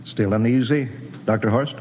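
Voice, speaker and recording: An American actor playing a stern, commanding spaceship captain with clipped authority in 1950s radio acting. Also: male voice, medium pitch, normal speed, husky, close to an everyday voice. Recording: muffled, noisy 1950s radio recording.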